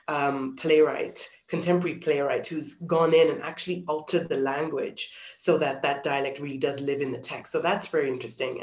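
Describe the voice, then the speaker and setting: A woman's voice: low voice, darkish tone. Woman from Zambia in an echoey room. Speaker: actor being interviewed. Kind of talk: thoughtful interview answers. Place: small plain-walled room indoors. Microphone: computer mic on video call. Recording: roomy, echoey video call.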